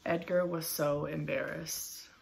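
Slow, low-pitched woman reading a storybook. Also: steady tone, creaky, low-quality recording. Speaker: storybook reader. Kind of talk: children's picture book read-aloud. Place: small room at home, indoors.

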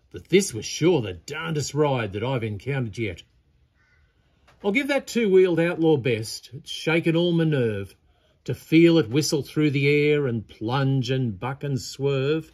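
A man's voice: mid-range voice, animated, a little slow, darkish tone. A man voicing a cocky, boastful bushman with a pompous, bragging manner in a storybook poem. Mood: shaken, grumbling.

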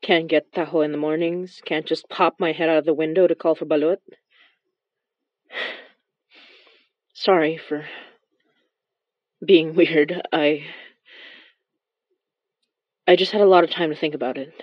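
A woman's voice: sits low, fairly even intonation, measured pace, long pauses, no room sound. Wistful young female narrator for horror audio drama, played by a woman; confiding, apologetic, dry but slightly dull sound. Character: young Filipino immigrant woman, reflective, wistful, awkwardly apologetic. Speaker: narrator and lead of a horror fiction podcast.

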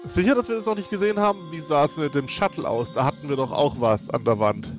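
A man's voice: fairly high pitch, quick pace. A man chatting casually as a tabletop player, with dry, wry understatement. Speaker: tabletop role-play player. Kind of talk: casual chat. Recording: music behind the voice.

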